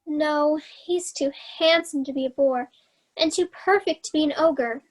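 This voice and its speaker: A woman's voice: high voice, a little slow. A woman playing a dreamy, romantic young heroine in a staged reading, earnest and eager. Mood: wistful. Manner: earnest, wistful. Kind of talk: staged reading of children's theater.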